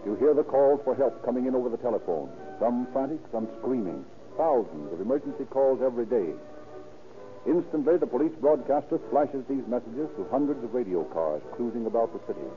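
A man's mid-pitched voice comes through a tinny 1930s radio broadcast. He is an announcer reading scripted narration and advertising copy in a formal, emphatic style. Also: lively intonation, a little fast, soft background music.

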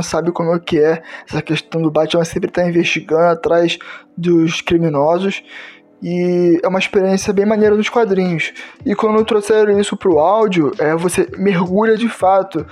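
A man's voice: sits high, darkish tone. A Brazilian-accented man giving casual recommendations as a podcast panelist.

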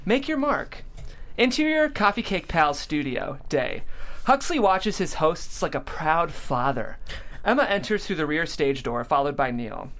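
Narrator reading screenplay directions, really lively pitch, medium register. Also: unhurried. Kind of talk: scripted narration at a table read.

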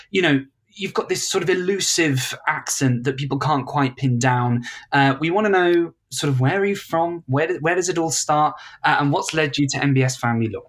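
Playful woman podcast host. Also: deep voice. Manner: playful, laughs along, sort of fillers. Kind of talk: casual interview hosting.

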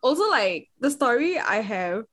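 A woman's voice: a little high, sing-song, a little slow, a bit bright. Chatty young woman host. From Singapore. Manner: chatty, laughs often, lots of "like" fillers. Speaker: podcast host. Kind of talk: casual chat.

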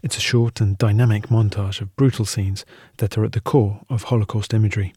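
Male documentary narrator, scripted read, husky edge, close dry sound. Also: fairly low pitch, fairly even intonation, a little fast, dark tone, no room sound.

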